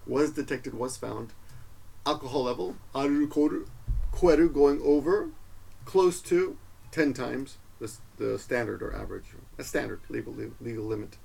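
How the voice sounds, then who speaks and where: Gravelly-voiced man teaching a casual lesson. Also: medium pitch, animated, normal speed, darkish tone, poor audio. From Peru. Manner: rambling, self-correcting explanations with many fillers. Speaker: language teacher. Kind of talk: casual video language lesson.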